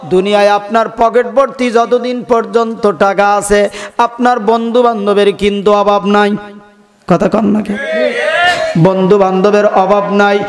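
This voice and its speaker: High-pitched Bangladeshi preacher man, heavy reverb. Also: level tone. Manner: drawn-out, sing-song, exhorting delivery. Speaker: Islamic preacher. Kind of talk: fervent sermon with chanted passages and calls for audience response. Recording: long hall reverb, music-like sound behind.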